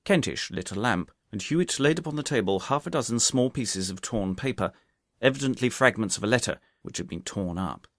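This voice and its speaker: Male audiobook reader, deeper register, animated delivery, very dry close sound. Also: fairly quick, no room sound. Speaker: audiobook narrator. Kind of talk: scripted narration of a detective story.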